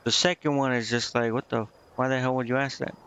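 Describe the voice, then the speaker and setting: A man giving casual, informal let's-play commentary as a game streamer, talking in a halting way. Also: mid-range voice, steady tone, a bit bright, a little hiss or hum. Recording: dull lo-fi mic, music behind. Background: game music.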